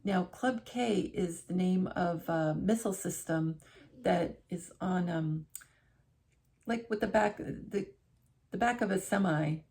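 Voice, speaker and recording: Rambling middle-aged woman with gravelly voice. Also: lowish voice, takes it slowly, a little mellow. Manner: rambling, self-correcting, conversational. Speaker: vlogger. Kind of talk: casual chat to camera. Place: home living room, seated in armchair.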